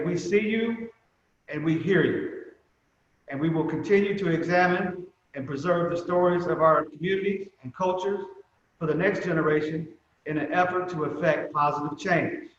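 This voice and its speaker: A man giving prepared remarks as a museum representative at a commemoration, speaking in a measured, emphatic way with deliberate pauses. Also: a little high. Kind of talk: prepared speech at a virtual commemoration. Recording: very echoey reverberant room, muffled, faint noise.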